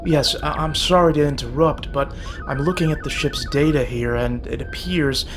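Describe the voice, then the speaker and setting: A man's voice: on the high side, normal speed, music in the background, close to an everyday voice. A man playing a polite, earnest and deferential ship's crewman in an audio drama. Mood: apologetic, earnest. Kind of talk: scripted fan audio drama acting.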